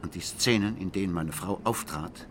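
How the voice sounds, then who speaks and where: An actor playing an embittered, betrayed husband who confides his marriage story in a deliberate, brooding way in a radio drama. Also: sits low, noisy background, lightly acted. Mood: cold, bitter. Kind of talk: radio drama monologue, intimate narration.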